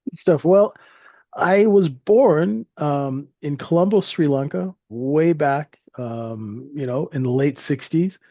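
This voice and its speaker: A man's voice: fairly high pitch, takes it slowly, dark tone, no echo, dull audio. A man in his fifties from Canada, telling his life story in a rambling, friendly way with lots of fillers. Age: 50s. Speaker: guest trader. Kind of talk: casual interview storytelling.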